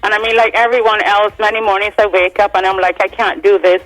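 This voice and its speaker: A woman's voice: medium pitch, fairly even intonation, fast talker, soft background music, poor audio. Guest singer, woman, 40s, Swedish, easygoing talker. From Sweden. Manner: warm, chatty, laughs easily. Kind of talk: casual radio interview.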